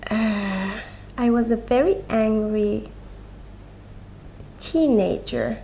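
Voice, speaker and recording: Young woman, open and unrehearsed, hesitant phrasing, some vocal fry. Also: a little high, mellow, slight background noise. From Sweden. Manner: halting, with frequent pauses and "like". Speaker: guest. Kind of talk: candid personal conversation.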